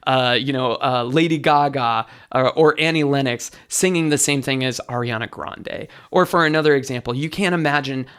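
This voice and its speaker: A man with a light, bright tenor voice, a vocal coach explaining singing technique as an interview guest. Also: mid-range voice, normal speed, few pauses, clean tone. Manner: enthusiastic teaching, sings short demos.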